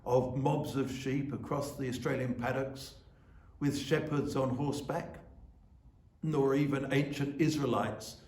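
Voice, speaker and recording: A man delivers a reflective sermon in a slow, solemn and deliberate way. His voice sits at an ordinary male pitch. It is heard in an echoey room, some distance from the microphone. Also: fairly even intonation, darkish tone. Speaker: church officiant giving a reflection.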